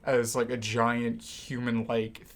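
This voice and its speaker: A man's voice: medium pitch, monotone, takes it slowly. A man chatting casually about movies as a podcast co-host. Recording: noisy amateur room recording.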